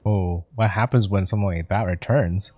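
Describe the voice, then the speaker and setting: A low-voiced man streams a video game and talks over it casually. He is chatty, reacting aloud as he plays, with faint music in the background. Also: moderate pace, a little mellow. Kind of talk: casual let's play commentary.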